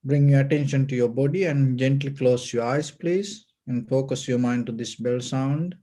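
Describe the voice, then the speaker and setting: A man with a Sri Lankan accent leading a guided meditation as a Buddhist teacher, giving gentle, measured instructions with short pauses. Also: medium pitch.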